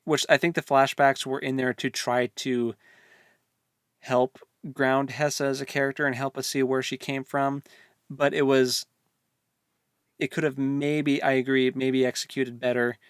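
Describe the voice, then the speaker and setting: A man with a mid-range voice talks as a podcast co-host in a dry, close-sounding recording. He works through his opinions loosely in relaxed, informal book discussion. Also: mid-range voice, measured pace, clean tone, no room sound.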